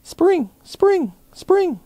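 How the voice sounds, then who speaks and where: Male reader as tiny robin, very high pitch, cheerful, singsong, chirpy, storybook. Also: big pitch swings, extremely slow, warm tone, no room sound, heavily acted. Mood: bright, singing.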